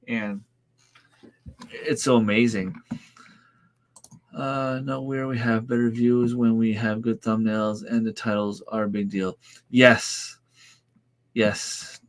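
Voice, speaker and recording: A French Canadian man in his thirties, native French speaker, takes his time on a casual livestream. He talks slowly and easygoingly, hesitating and restarting as he answers viewer comments, at an everyday mid pitch. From Northern New Brunswick, Canada. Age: 30s. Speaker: farm channel host.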